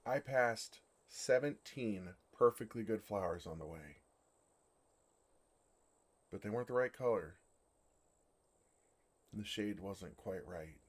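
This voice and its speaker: A man acts out an ancient male dragon for an ASMR fantasy roleplay. The voice sits fairly low and moves very slowly, with halting pauses. He comes across as gentle, earnest and bewildered, a flustered creature oblivious for all his years. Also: animated, husky, full character voice. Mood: flustered realization.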